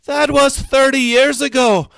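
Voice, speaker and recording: A man voicing a fierce older woman, a protective grandmother who scolds loudly and with tearful emotion in a story. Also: very high-pitched, steady tone, measured pace, gravelly, dry recording, voice pushed up, heavily acted. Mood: furious, tearful.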